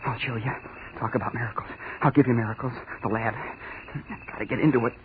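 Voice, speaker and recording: A man's voice: medium pitch, fairly even intonation, brisk, close to an everyday voice. On a noisy, tinny 1940s radio recording, a man plays an unhinged young American student chemist. He mutters obsessively, repeats himself, and builds to fevered cries in old horror-drama style. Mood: frantic muttering.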